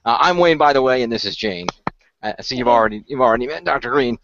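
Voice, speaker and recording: A man's voice: medium pitch, fast, muffled recording, a crowd in the background, lightly acted. A man playing an earnest, diplomatic ghost-hunting inventor in an improvised role-play, explaining things in a hesitant, conciliatory way. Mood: earnest, explanatory.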